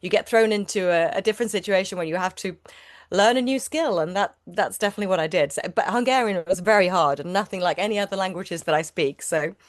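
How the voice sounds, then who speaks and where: A woman's voice: lowish voice, quick pace, no room sound, clean studio sound. A middle-aged woman whose first language is Swedish, an actor chatting in an interview, talking freely with many restarts and repeated words. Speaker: actor guest. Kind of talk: casual interview chat.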